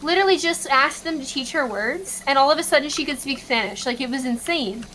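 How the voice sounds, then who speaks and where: A woman's voice: high-pitched, lively intonation, fairly quick, fairly bright tone, slight background noise, low-quality recording. A woman in her twenties chatting casually, explaining things and laughing easily. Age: 20s. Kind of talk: casual chat.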